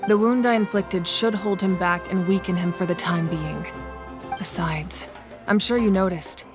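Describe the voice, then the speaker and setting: An actress playing a confident, composed warrior ruler in a video game, speaking with measured authority. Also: female voice, on the low side, natural voice. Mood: commanding. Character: commanding, confident adult female ruler and warrior deity. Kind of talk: dramatic video game voice acting. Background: music.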